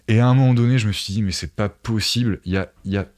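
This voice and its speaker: A man's voice: fairly low pitch, rapid-fire, darkish tone. A man talking casually in an interview as a podcaster, explaining his ideas conversationally with asides to the listener.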